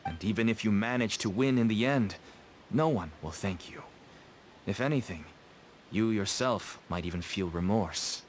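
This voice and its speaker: A man's voice: lowish voice, moderate pace, slight background noise, lightly acted. An actor playing a nervous, ingratiating merchant father with a shady trade in a video game. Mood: cautioning. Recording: game music behind the voice.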